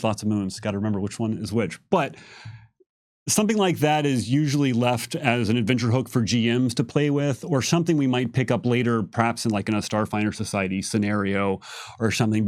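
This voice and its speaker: A man's voice: mid-range voice, a little mellow, no room sound, studio quality. A man answering lore questions as a game developer, explaining off the cuff and often rephrasing himself mid-sentence. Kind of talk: unscripted explanatory talk.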